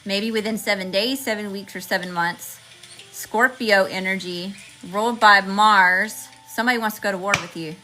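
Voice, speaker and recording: A woman reading tarot cards for her viewers in a chatty, slangy way, calling them y'all and listing card meanings one word at a time, with her voice going hoarse. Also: medium pitch, moderate pace, bright, forceful tone, faint music behind, low-quality recording. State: losing her voice. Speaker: tarot reader channeling messages. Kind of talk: casual spiritual reading to viewers.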